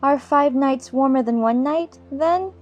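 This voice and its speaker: A woman's voice: sits high, a little slow, darkish tone, lo-fi sound, faint music behind. A woman playing an earnest, polite young girl in a dramatized storybook, eager and decided as she reasons things out. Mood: puzzled. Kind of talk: dramatized audiobook acting.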